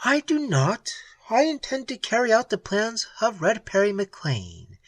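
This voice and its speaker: A woman's voice: a little high, wildly swooping pitch, measured pace, no room sound, full character voice. A reader voicing a fierce, vengeful young woman pirate captain who speaks in a sarcastic, blazing manner, in an audiobook. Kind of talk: audiobook dialogue.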